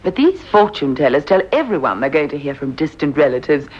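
Wry housewife, low breathy voice, lively intonation, dull old recording. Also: female voice, heavily acted. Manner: wry, dismissive, brisk. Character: sensible, dry-witted English housewife.

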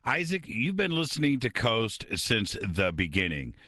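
A man's voice: fairly low pitch, sing-song, a little slow, bright, forceful tone, no room sound, studio quality. A man hosting a late-night radio talk show, chatty and upbeat as he moves to the calls.

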